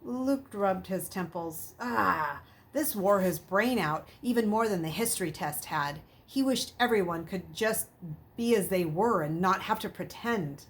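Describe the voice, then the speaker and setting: A woman's voice: medium pitch, animated, measured pace. A woman reading a children's novel aloud as a storyteller, with dramatic, emphatic delivery.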